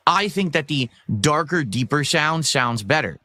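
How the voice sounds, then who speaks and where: Bright, slightly high-voiced man explaining. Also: expressive, a little slow, dry recording, studio quality. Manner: wordy, over-explaining. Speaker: video game streamer. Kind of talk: explanatory monologue to viewers.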